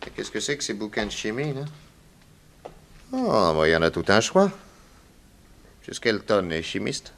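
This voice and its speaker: A man's voice: on the low side, very animated, normal speed, creaky, long pauses, a bit bright, a little hiss or hum, heavily acted. A man playing a shrewd, probing police detective with a wry, insistent manner in a 1960s French radio drama. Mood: wry, suspicious. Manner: inquisitive, wry, casually insistent.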